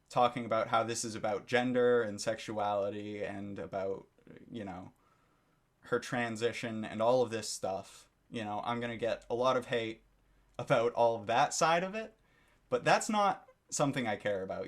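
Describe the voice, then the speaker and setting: A man giving a critical commentary monologue for his video channel, lecturing and disapproving, often repeating his phrases. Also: lowish voice. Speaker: YouTube commentator.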